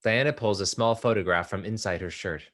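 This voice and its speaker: A man reads the stage directions of a play in a steady, measured way. His voice is very low, and faint music plays underneath. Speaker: narrator reading stage directions. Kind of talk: play table read narration.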